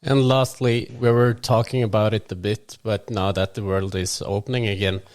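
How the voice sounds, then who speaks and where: Podcast co-host asking quick-fire questions. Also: medium pitch, fairly even intonation, darkish tone.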